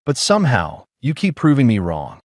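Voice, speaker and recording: Man doing wisecracking mercenary pilot, gritty, lively, dry sound. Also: medium pitch, normal speed, no room sound. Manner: sarcastic, gritty.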